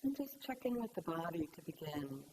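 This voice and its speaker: A woman meditation teacher leading a guided meditation in gentle, unhurried phrases with long pauses. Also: deep voice, big pitch swings. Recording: clear background hiss.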